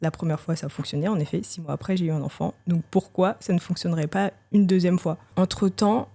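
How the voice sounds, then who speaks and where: Mellow low-voiced woman, hesitant. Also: steady tone, vocal fry. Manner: hesitant and reflective, with frequent filler pauses. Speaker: podcast guest sharing a personal story. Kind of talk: candid personal testimony.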